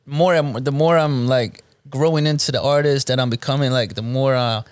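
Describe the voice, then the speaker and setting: A man in his late twenties from Belgium, a native Moroccan Arabic speaker, talking casually as a rapper guest in an interview, with a relaxed, halting delivery full of ums. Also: mid-range voice, smooth, no room sound. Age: 20s. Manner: relaxed, hesitant with frequent ums and restarts.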